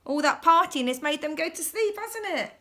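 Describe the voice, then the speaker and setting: A woman's voice: very high voice, expressive, normal speed, bright, forceful tone. A woman reading a children's bedtime story aloud expressively, as if speaking directly to young listeners.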